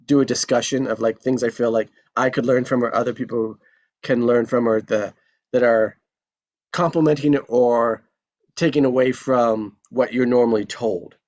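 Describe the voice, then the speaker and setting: A man's voice: medium pitch, fairly even intonation, moderate pace, darkish tone. Guy rambling about scripts, um and like a lot, kinda muffled audio. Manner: rambling, thinks aloud with many fillers. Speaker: table read participant. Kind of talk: casual discussion of a screenplay.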